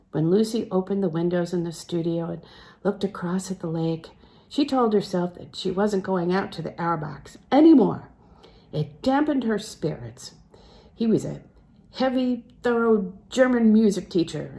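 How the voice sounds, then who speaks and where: A woman reading a literary novel aloud in a measured, phrase-by-phrase way, letting phrase endings fall soft and breathy. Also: on the low side, animated. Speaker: audiobook reader.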